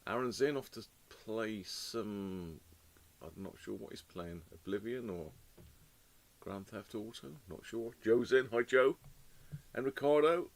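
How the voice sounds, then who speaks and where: Chatty man, hoarse with a cold. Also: on the low side, measured pace. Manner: chatty, rambling, greets viewers by name. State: slightly hoarse with a cold and cough. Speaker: host of a live radio repair stream. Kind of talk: casual live-stream chat greeting viewers. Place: home workshop. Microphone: desk microphone.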